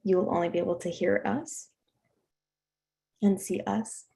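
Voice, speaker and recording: An American woman speaks as the host of an online community event, with a middle-pitched voice. She is giving a welcome and introduction over a video call. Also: steady tone, a little slow, vocal fry, dark tone. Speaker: event host, theater company marketing coordinator.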